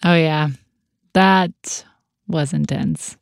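A woman's voice: deep voice, steady tone, very slow, vocal fry, smooth, no echo, clean studio sound. An American woman co-hosting a podcast in a conversational style. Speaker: podcast co-host.

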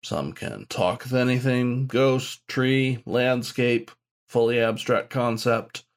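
A man's voice: mid-range voice, slow pace, pitched above the performer's own voice, close to an everyday voice. A man voicing a frank badger shaman who mentors in a blunt, informal, explanatory way. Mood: explaining.

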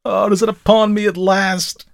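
Man acting a frantic, high-pitched dying notary. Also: little pitch movement, normal speed, creaky, dry recording, studio quality. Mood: panicked groaning. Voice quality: strained, breathless. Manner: melodramatic pleading. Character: fear-stricken hypochondriac notary, groaning and frantic, convinced he is dying.

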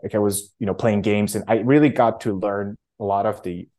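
A man in a fairly low voice. He talks casually and haltingly, circling back and restarting his sentences as he thinks aloud. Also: steady tone, dark tone. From Romania. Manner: halting, frequent self-restarts and fillers. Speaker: startup founder guest. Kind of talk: casual interview answers.

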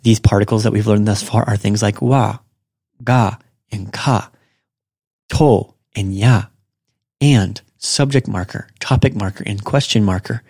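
A man hosting a podcast, giving a casual language lesson and slowly repeating example phrases. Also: on the low side, fairly even intonation, a little mellow, breathy edge, dry recording, studio quality. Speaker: podcast host and Japanese teacher.

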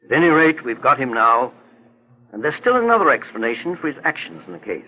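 A man playing a kindly, assured older gentleman detective in a 1940s radio drama. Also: medium pitch, sing-song, brisk. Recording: dull lo-fi 1940s radio recording.

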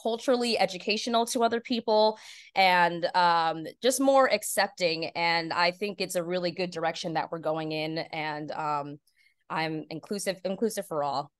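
A woman's voice: fairly low pitch, normal speed, clean tone. A woman giving conversational answers as a voiceover artist and guest on a podcast.

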